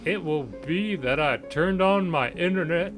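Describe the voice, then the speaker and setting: A man's voice: a little high, a little slow, over music. A man in casual chat, reacting with amusement as a co-host.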